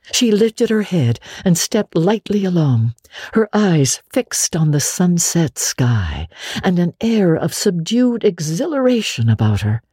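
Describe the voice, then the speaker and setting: Female audiobook narrator: deep-toned, slightly raspy, lively and dramatic in delivery, for classic literature. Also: no room sound, clean studio sound.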